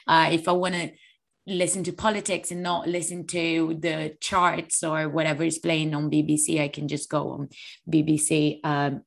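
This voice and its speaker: Female podcast co-host, low gravelly voice, slightly fluey, chatty and animated. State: a little hoarse with flu. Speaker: online fitness coach. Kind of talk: casual podcast chat.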